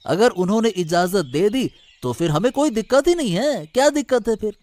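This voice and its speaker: Male actor as cartoon village labourer, cautious adviser, emphatic, high lively voice, faint music. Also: moderate pace. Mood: cautious, advising. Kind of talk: Hindi animated moral story dialogue.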